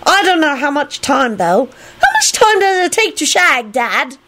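A storybook little boy, voiced by a woman, self-righteous and blunt. The voice sits high and swings widely as he chatters importantly. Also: sing-song, measured pace, background noise. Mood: lofty, chatty.

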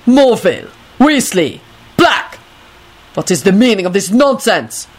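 A woman's voice: mid-range voice, very animated, a little slow. A woman voicing a cold, imperious male professor in an audiobook, with an icy, disdainful way of speaking. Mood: irritable.

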